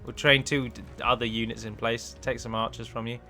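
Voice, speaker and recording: A man's voice: mid-range voice, little pitch movement, a little fast, music in the background. A man giving chatty, self-deprecating commentary as he plays and records a let's play on a close microphone. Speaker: gaming video host and mod developer.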